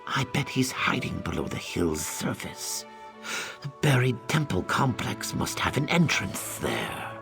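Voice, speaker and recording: A man voicing a cocky but earnest and loyal lizardfolk scout and tracker in a video game, with a gravelly voice. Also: medium pitch, big pitch swings, unhurried. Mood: resolute. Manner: tags sentences with a questioning "yes?". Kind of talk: video game character dialogue. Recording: game music under the voice.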